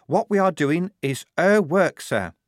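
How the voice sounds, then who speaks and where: A man's voice: fairly high pitch, expressive, unhurried, no echo, clean studio sound. A man reading a story, voicing a fervent, devout young woman soldier who speaks zealously and solemnly.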